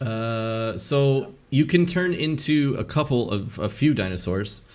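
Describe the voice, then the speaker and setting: A man narrating as game master of an online tabletop game, casual and halting, with many fillers. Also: mid-range voice, lively intonation. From English-speaking country.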